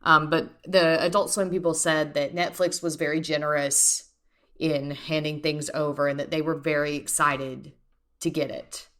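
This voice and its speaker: A woman's voice: low voice, little pitch movement, moderate pace, fairly bright tone. A woman in her mid twenties co-hosting a podcast, talking in an enthusiastic, rambling casual way. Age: 20s. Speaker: podcast co-host. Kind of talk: casual chat.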